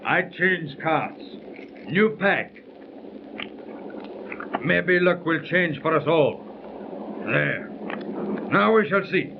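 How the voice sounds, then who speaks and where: An actor playing a shifty card dealer who speaks in a slow, stiff, formal way, in a 1930s radio drama. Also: male voice, fairly high pitch, lively intonation, gravelly, full character voice. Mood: sly. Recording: muffled 1930s radio transcription, noisy.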